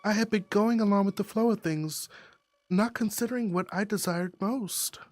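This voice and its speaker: A streamer reading a visual novel aloud, with expressive narration and sassy, slangy asides. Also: medium pitch, no echo.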